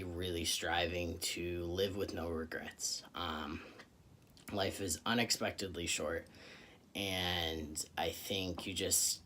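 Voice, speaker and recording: A young man talking earnestly into the camera in a personal vlog, reflective, with frequent pauses and fillers. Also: sits low, bright, forceful tone, a little hiss or hum. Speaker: vlogger.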